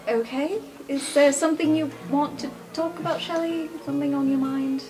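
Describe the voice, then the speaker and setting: A woman's voice: sits high, steady tone, moderate pace, music in the background. A giggly woman playing in an improvised tabletop roleplay podcast, chatting casually.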